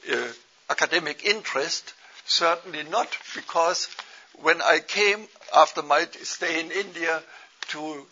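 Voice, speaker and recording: A man with a German accent from Germany giving a formal lecture in a measured way. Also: on the high side, vocal fry, pushed and bright, slightly rough. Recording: dull lo-fi with faint noise.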